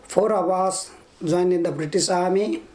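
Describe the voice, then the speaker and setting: A man in his fifties from Nepal with a Nepali accent, giving an oral history interview in a measured, halting way. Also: high-pitched, darkish tone. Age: 50s. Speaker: interviewee, army veteran. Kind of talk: oral history interview, recounting own life.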